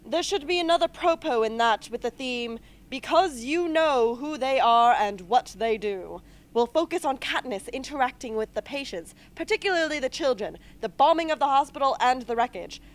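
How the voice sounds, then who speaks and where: Woman as high-voiced brisk female film director. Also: expressive. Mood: brisk, keen. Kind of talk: amateur radio drama acting.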